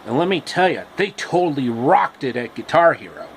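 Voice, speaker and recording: A man telling a story casually and off the cuff, in a halting way with pauses and repeats. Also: medium pitch, sing-song, a little hiss or hum.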